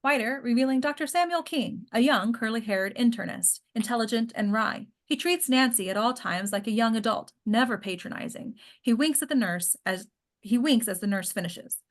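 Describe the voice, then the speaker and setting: A woman's voice: a little high, normal speed. A woman reading scripted stage directions as narrator of a screenplay table read.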